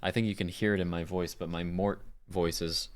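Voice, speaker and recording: Deep voiced guy streamer, slow offhand chat, smooth clean voice, kinda muttering to himself. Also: fairly even intonation. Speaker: streamer and voice actor. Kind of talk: casual livestream chat.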